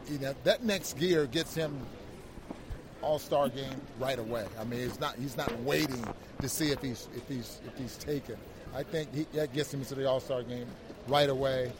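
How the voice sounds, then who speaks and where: A man co-hosting a sports show, giving casual basketball analysis in a halting way, repeating words as he builds each point. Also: medium pitch, steady tone. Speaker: basketball broadcast co-host, analyst. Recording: crowd noise behind the voice.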